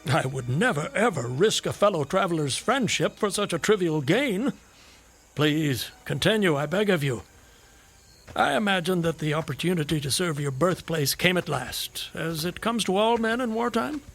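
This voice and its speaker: An actor playing a slyly probing, florid and elaborately polite traveling companion in a radio drama, with a raspy, creaky voice. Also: male voice, on the high side, animated, normal speed, fairly bright tone, full character voice.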